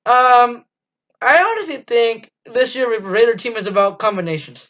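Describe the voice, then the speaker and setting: A man's voice: extremely high pitch, moderate pace, bright, forceful tone. Teen boy rambling on a lo-fi webcam. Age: teens. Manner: rambling and enthusiastic, lots of ums and restarts. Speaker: fan channel host. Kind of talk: casual postgame review monologue. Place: small dim room indoors. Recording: dull lo-fi webcam audio.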